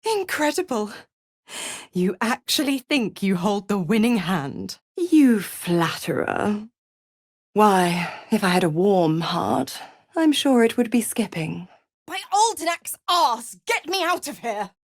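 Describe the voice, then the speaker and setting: A woman's voice: mid-range voice, very animated, slow pace, a little mellow, no echo, studio quality, exaggerated voice. A woman voicing a sly, seductive half-devil schemer in a video game, purring, teasing and condescending with theatrical flair. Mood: impatient, scornful.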